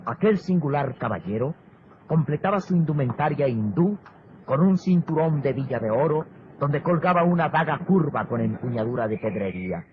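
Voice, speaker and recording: An actor playing the grandiose narrator of an old radio adventure serial, declaiming florid descriptions. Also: male voice, a little high, expressive, moderate pace, lightly acted. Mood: admiring, dramatic. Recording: noisy, muffled vintage radio recording. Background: music, sound effects and ambience.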